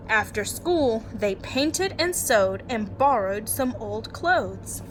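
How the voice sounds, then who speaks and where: A woman with a fairly high voice reads a picture book aloud like a teacher to her young class. She takes her time and adds casual, explanatory asides for the children. There is clear noise on the recording. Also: poor audio. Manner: addresses young children directly, encouraging and explanatory.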